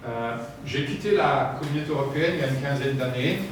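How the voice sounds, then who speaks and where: Middle-aged man from France, measured hesitant speaker; distant mic, very roomy, noisy. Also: medium pitch. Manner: slow, measured, hesitant with "euh" fillers. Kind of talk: formal talk with pauses for interpreting. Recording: echoey room from far off with noise.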